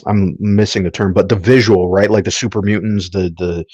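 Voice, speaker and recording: A man's voice: on the low side, fairly even intonation, brisk, a little mellow, a little hiss or hum. A man on a podcast panel, talking casually as a fan and emphatically listing his points.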